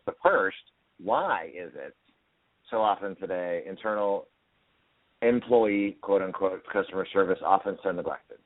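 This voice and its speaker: A man's voice: low-pitched, no room sound. A man co-hosting a radio talk show, upbeat and promotional as he introduces the topic and guest. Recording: dry, tinny phone line.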